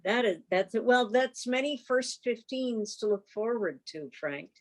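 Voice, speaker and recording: A woman chairing an informal club meeting on a video call, talking and reading reports in a halting, conversational way. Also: a little high, lively intonation.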